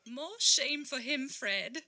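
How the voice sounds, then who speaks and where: A woman's voice: sits high, lively intonation, slow, sharp tone, heavily acted. An actress playing a pert, frank young woman in an audio play. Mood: disapproving.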